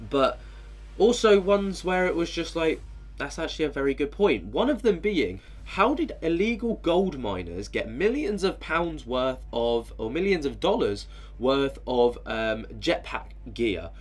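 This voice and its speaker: A man's voice: mid-range voice, breathy edge. A man with a head cold and a nasal, stuffy voice, rambling casually as a channel host with many fillers. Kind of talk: casual commentary on a video.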